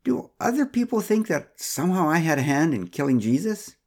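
A man's voice: fairly high pitch, big pitch swings, a little fast, darkish tone, voice pushed up. Man doing a hesitant, puzzled neighbor man. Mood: troubled. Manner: hesitant, uncertain.

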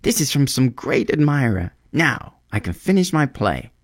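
A man's voice: medium pitch, sing-song, normal speed, no room sound, pitched above the performer's own voice. Man voicing a poor young student, raised voice, exclaiming, dry sound. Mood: elated.

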